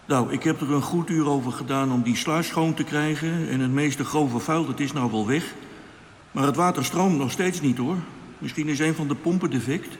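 An actor playing a weary, sarcastic sewage worker who grumbles and mutters to himself in a radio drama. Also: male voice, a little high, moderate pace, lightly acted. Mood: dutiful, weary. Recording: long cavernous reverb, clear background noise.

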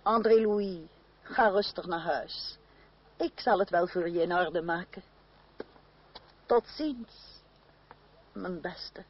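A woman's voice: on the low side, slow pace, pauses often, husky. An actress playing an imperious, motherly French noblewoman in a radio play, stately and reassuring. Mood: stately reassurance. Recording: muffled, distant old radio recording.

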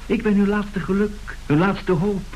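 A man's voice: high-pitched, unhurried, a little mellow, poor audio. A man playing a suave, self-assured swindler in an old radio play, speaking formally and theatrically. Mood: grandiose, self-justifying. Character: suave, unrepentant male swindler who woos women by letter. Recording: hissy old radio recording.

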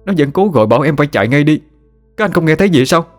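A man's voice: medium pitch, animated, fast, soft background music, voice pushed up, natural voice. A man voicing a frightened young man who is shaken after a dream of his dead friend, talking in an urgent, pleading way.

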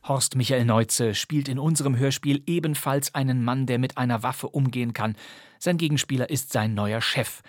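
Male host, medium pitch, scripted presenting in a dry studio sound. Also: moderate pace, no echo. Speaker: podcast host. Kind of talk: scripted, presenter-style narration.

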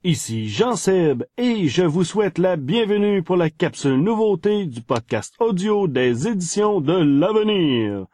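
Man, Quebec French accent, podcast segment presenter, very unhurried pace, dry close sound. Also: high voice, big pitch swings, a little mellow, no room sound. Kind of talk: scripted podcast news segment.

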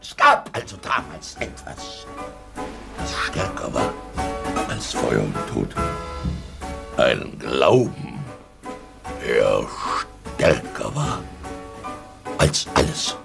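A man's voice: very deep voice, huge pitch swings, creaky, over music, full character voice. An actor playing a drunken, fervent Russian man who rants and preaches theatrically in a German radio drama. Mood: impassioned lament. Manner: theatrical, halting, oratorical, breaking into chuckles.

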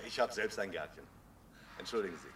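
A man's voice: sits low, animated, normal speed, fairly bright tone, far from the mic, a little hiss or hum. An actor playing a polite, sceptical young policeman in a radio drama, matter-of-fact in tone. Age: young adult. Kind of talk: German radio drama acting.

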